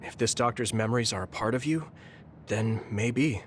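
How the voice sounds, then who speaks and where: A man playing an earnest, steady young soldier in a video game, speaking in a measured, kindly way. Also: medium pitch, a little hiss or hum, natural voice. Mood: gentle, encouraging. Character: earnest young male soldier, kind, steady, easily exasperated. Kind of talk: English video game voice acting. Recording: music behind the voice.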